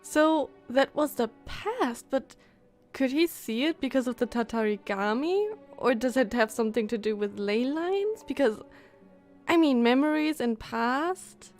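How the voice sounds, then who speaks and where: A woman giving casual live commentary as a game streamer, expressive and emotionally invested. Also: sits high, measured pace, faint music behind.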